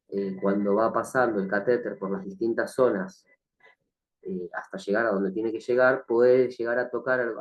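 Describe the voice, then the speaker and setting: A man teaching a recorded nursing class, slightly hoarse from the flu, speaking hesitantly with many fillers and frequent check-ins with the listener. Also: lowish voice, darkish tone, muffled recording. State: has a cough from the flu. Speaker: nursing instructor. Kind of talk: recorded online lecture.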